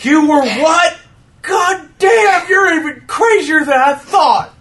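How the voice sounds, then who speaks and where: Very high-pitched obnoxious male loudmouth. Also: lively intonation, unhurried. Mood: mocking, indignant. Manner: jeering, insulting. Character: obnoxious, whiny, mocking loudmouth man. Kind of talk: dramatic audio drama acting.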